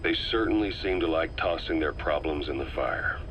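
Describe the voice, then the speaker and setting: An actor playing a stern military intelligence officer in a video game, asking terse, matter-of-fact questions. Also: male voice, very deep voice, normal speed, a bit bright, slight background noise, rough recording. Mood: probing, terse. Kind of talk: dramatic game voice acting, interrogating dialogue.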